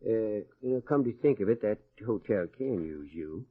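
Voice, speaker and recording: Offhand, husky job agent, tinny. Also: male voice, on the low side, animated, moderate pace. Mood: offhand, relenting. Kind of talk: old-time radio drama acting. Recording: tinny, band-limited vintage radio recording.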